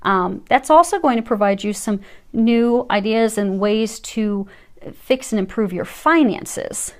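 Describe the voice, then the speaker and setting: A woman giving a casual tarot reading, gentle and reassuring, with frequent fillers and restarts. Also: mid-range voice. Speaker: tarot reader.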